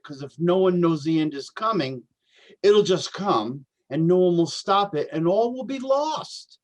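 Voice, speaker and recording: Male actor as paranoid homeless young prophet: high-pitched, slow, halting delivery, dramatic stage-play intensity. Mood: fearful, urgent. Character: troubled homeless young man, paranoid doomsday poet-prophet. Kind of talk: staged play reading, reciting verse.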